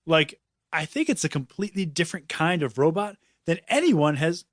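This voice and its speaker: A man's voice: on the high side, sing-song, normal speed, no echo, studio quality. A man voicing a distracted, apologetic boy inventor in a storybook, earnest and a little hesitant. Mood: keen.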